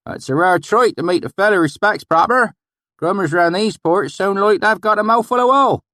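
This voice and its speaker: A man voicing a wary, weathered old swineherd in an audiobook, with a thick rural dialect and a loud, hearty manner. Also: normal speed, dry recording, clean studio sound. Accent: thick rural backwoods dialect. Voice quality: shouting, hearty, high-pitched. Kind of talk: audiobook character voice.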